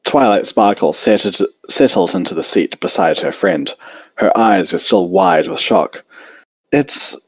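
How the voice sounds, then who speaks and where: A man's voice: sits low, unhurried, breathy edge, no room sound, low-quality recording. A man reading a fan fiction story aloud as its narrator.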